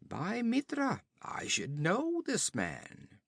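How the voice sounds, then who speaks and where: A man voicing a hard, boastful outlaw chief who gives orders in a raised, loud voice. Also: high-pitched, wildly swooping pitch, takes it slowly, creaky, slightly rough, dry recording, studio quality, voice pushed up, exaggerated voice. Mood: swaggering. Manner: boastful, commanding.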